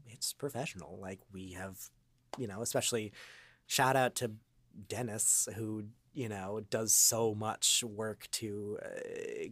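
Man, mid pitch, casual interview guest, rambling, informal, dry recording. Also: no echo. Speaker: voice actor and improv comedian, podcast guest.

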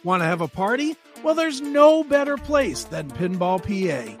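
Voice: mid-range voice, sing-song, moderate pace, faint music behind. An announcer reading an upbeat promotional spot for an advertisement. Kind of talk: upbeat commercial read.

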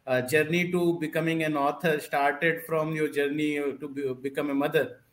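Male host, fairly high voice. Interviews guest. Halting, lots of fillers. Echoey room. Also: flat delivery. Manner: halting, filler-laden questions. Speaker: podcast host.

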